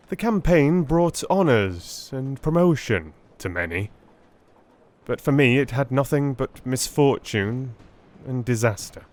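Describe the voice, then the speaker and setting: A man playing a weary Victorian army surgeon recounting his memoirs in a measured, formal, theatrical audiobook reading. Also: medium pitch, natural voice. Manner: measured, formal, literary delivery. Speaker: audiobook narrator.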